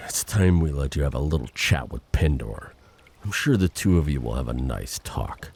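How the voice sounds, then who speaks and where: A very low, raspy male monster acted by a man for an audio drama. He is vengeful and reckless, delivering formal threats that swing widely in pitch. His menace is bitter and deliberate. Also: lively intonation, a little mellow, heavily acted. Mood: curt, resigned. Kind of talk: dramatic audio roleplay acting.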